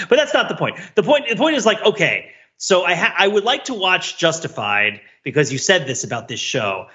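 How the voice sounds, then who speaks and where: Middle-aged American male podcast co-host, bright forceful voice, rambling and self-questioning, on slightly muffled audio. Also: fairly low pitch, gravelly. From United States. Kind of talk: casual podcast chat.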